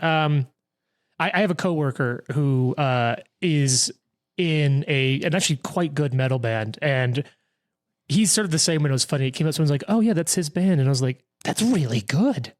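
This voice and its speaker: A man's voice: a little high, normal speed. A man in his thirties, the game master, chatting casually in a rambling, self-deprecating way with lots of quoted asides and restarts. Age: 30s. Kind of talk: casual chat at the gaming table.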